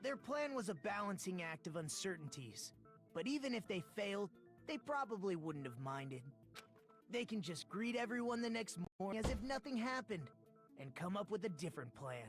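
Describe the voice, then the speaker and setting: High-voiced analytical teenage boy detective. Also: big pitch swings. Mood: matter-of-fact. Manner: measured, deliberate explaining. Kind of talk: anime video game voice acting. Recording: game music behind voice.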